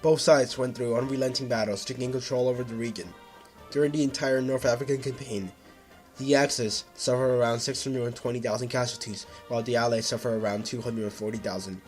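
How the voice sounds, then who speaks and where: A man narrates a scripted newsreel in a 1940s style. His pitch is mid-range for a man, and faint music plays behind him. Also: steady tone, fairly quick, a little mellow.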